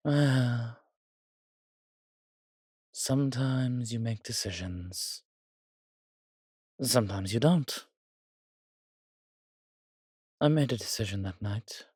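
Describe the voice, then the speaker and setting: A man's voice: medium pitch, slow pace, long pauses, darkish tone, slightly rough, dry recording, studio quality. A man playing a suave, weary vampire with courtly, fond phrasing in an intimate roleplay audio drama. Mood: rueful. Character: weary, suave male vampire, protective and darkly charming.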